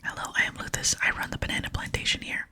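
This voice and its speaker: A woman's voice: fast talker, bright, forceful tone, faint music behind. A woman voicing an obliging male plantation owner from a video game, reading politely in an ASMR whisper. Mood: businesslike.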